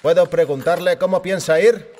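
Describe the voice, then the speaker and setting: A man's voice: high voice, moderate pace, darkish tone, slight background noise, pitched above the performer's own voice, lightly acted. A man voicing a burly Chicano car mechanic in an audiobook, respectful, obliging and businesslike. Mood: respectful, grave. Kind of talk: audiobook character voice.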